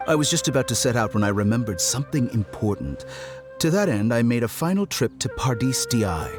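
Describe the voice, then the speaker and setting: A man's voice: mid-range voice, very animated, close to an everyday voice. An actor playing an arrogant male doctor and scholar in a video game, mock-polite and condescending, drawing out his words for menace. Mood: polite, probing. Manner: theatrical, mock-polite, drawn-out emphasis. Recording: music behind the voice.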